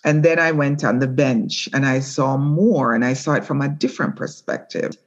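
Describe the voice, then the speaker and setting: A middle-aged woman, a former prosecutor and judge, speaking earnestly and in a measured way in an interview. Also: extremely low pitch, lo-fi sound. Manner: earnest, measured, with repeated restarts. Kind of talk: reflective interview talk.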